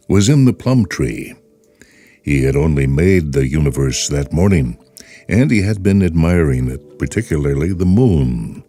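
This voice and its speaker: A man hosting and narrating a short story in an expressive, unhurried storytelling way. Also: very deep voice. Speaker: host and narrator. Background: faint music.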